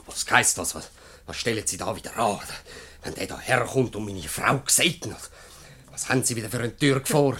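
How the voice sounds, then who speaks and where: An actor playing a gruff, jealous, suspicious husband with curt replies in a Swiss German dialect radio comedy. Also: male voice, on the low side, animated, normal speed, fairly bright tone, a little hiss or hum. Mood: grumpy, suspicious.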